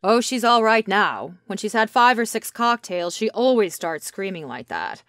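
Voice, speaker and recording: An actress performs a large, gossipy female party guest in a literary audio drama. She is tipsy and chatty, with very animated pitch. The recording is dry. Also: moderate pace, no echo, close to an everyday voice.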